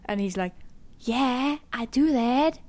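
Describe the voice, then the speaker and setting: A young woman from Germany talks in German-accented English, hosting her own unscripted podcast. She speaks at an unhurried pace, drifting off on tangents and poking fun at herself. She is recorded at home on a condenser microphone. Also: on the high side, lively intonation, darkish tone. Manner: rambling, self-deprecating, laughs often. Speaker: podcast host. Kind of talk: unscripted solo podcast chat. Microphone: USB condenser microphone.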